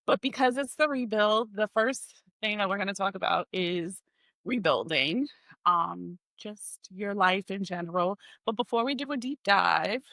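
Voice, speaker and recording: A woman hosts a podcast interview and draws out her guest with encouraging questions. Her voice is bright and crisp at a middle pitch. The recording is close and dry. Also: dry recording. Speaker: podcast host.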